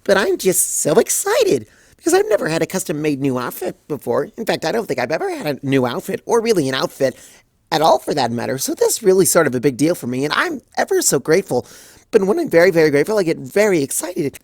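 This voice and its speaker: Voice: mid-range voice, wildly swooping pitch, fast, darkish tone, dry recording, clean studio sound, pitched above the performer's own voice. A reader voicing a hyper, giddy young female pony in a storybook reading, rambling and sing-song. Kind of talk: audiobook fanfic reading.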